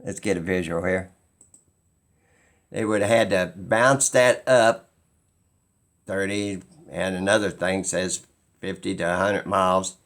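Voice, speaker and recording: A man talking informally and skeptically as he explains something, halting and pausing mid-sentence as he muses. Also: deep voice, steady tone, fairly bright tone. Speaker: video host.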